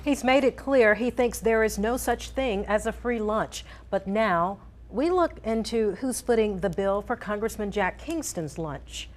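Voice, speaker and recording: A woman's voice: mid-range voice, expressive, a little slow, hardly any pauses. A woman with a mid-range voice anchors a television newscast. She delivers scripted lines in a presenter's style, with faint music in the recording. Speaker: TV news anchor.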